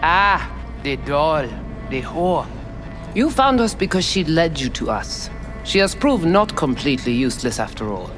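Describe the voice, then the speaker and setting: An actress playing a cool, commanding female hacker gang leader with a Haitian Creole accent and formal, measured speech in a video game. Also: low voice, very animated. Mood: cool, calculating. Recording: music behind the voice.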